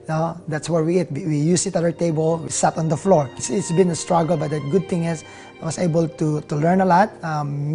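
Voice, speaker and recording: A man in his thirties from the Philippines with a Filipino accent, telling his own story in an interview, earnest and halting with many fillers. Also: fairly high pitch, vocal fry. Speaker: nurse turned network marketer. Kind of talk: interview testimonial. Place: living room, seated on a leather couch. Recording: soft music under the voice.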